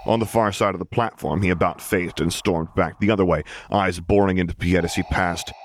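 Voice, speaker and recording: A man reading a story aloud as an expressive audiobook narrator. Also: low-pitched, fairly quick, a little hiss or hum.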